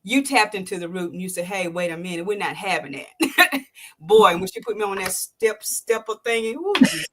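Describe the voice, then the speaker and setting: A woman in her sixties hosting an interview, telling a personal story with feeling, acting out quotes in bursts and dropping soft when moved. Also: brisk. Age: 60s. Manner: animated storytelling, acts out quotes with sharp jumps in pitch; drops to a soft, low voice when emotional. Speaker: podcast host. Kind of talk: emotional interview testimony.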